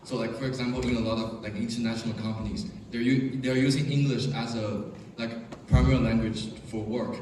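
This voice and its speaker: A student speaking haltingly, with many "like" fillers. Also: male voice, medium pitch, steady tone, mellow, a little hiss or hum. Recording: distant, echoey room.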